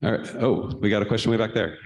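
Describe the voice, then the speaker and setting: A man's voice: on the low side, fast talker, darkish tone, rough recording. A man chairing a session and casually asking technical questions. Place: large lecture theatre.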